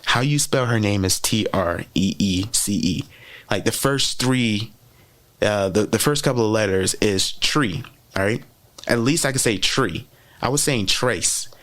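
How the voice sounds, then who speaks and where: A man's voice: on the low side, unhurried, a bit bright. A man whose first language is French gives a motivational teaching talk as a podcast host, chatty and repeating key phrases with frequent "right?" checks.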